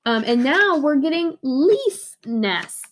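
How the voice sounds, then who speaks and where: High-voiced woman teaching young children, unhurried. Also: sing-song. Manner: simple explanatory phrasing with emphatic repeated words. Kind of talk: read-aloud lesson for young children, explaining a picture book.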